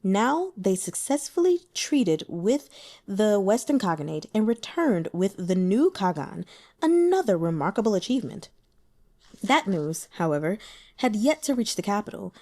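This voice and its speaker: A woman's voice: medium pitch, very animated, measured pace, dark tone. A woman reading a novel aloud as scripted narration, in the style of an audiobook. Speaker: audiobook reader.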